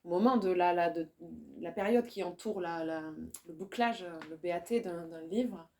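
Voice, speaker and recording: A woman's voice: on the low side, normal speed, darkish tone, a little hiss or hum. A woman, speaking in a casual discussion. She hesitates a lot, restarting phrases as she looks for the right word. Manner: hesitant, searching for words, many restarts. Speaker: poet.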